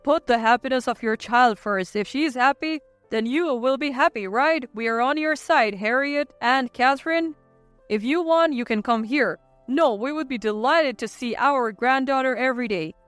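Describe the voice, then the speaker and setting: A woman playing a kindly, supportive older father in a narrated story, reassuring and gentle. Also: sits high, moderate pace, clear, resonant tone, no echo, faint music behind.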